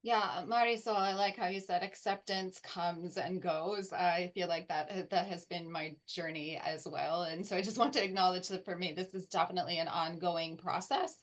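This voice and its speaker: A woman's voice: fairly low pitch, moderate pace, few pauses. Thoughtful woman researcher on a panel. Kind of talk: live panel discussion.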